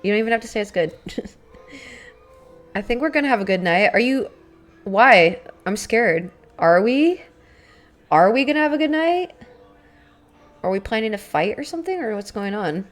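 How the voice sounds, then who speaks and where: Raspy young woman chatting on stream. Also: a little high, lively intonation, normal speed, long pauses. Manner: chatty, rambling, swearing, many fillers. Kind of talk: casual live-stream chat with viewers. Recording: faint background music.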